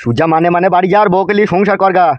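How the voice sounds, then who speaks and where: A man's voice: sits high, fairly even intonation, rapid-fire, muffled recording, natural voice. A man voicing a corrupt, dismissive junior policeman in a comedy cartoon, with a curt manner. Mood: scornful. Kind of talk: Bengali comedy cartoon dubbing.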